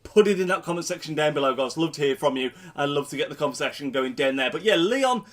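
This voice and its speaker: A man's voice: on the high side, animated, fast. A young man with a blocked nose from a cold, talking through a football match preview in a chatty vlog, doubling up words for emphasis. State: congested with a cold. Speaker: football fan vlogger.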